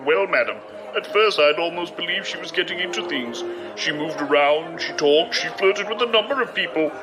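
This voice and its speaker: An actor playing a deferential manservant to a noblewoman in an audio drama, formal, measured and dry. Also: on the high side, animated, music in the background, close to an everyday voice, low-quality recording.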